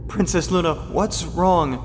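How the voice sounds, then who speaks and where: An anxious loyal pony. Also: male voice, high-pitched, measured pace, background noise. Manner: urgent, anxious questioning. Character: worried loyal pony named Silver Lining. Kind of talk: fan radio drama acting. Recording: dreamy long reverb with music.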